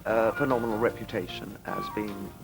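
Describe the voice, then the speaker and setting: A man's voice: lowish voice, fairly even intonation, brisk, gravelly, low-quality recording. A man, a stage performer, speaking with emphatic theatrical phrasing in an interview. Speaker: pantomime performer. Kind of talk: talking-head interview. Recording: faint music behind, VHS tape.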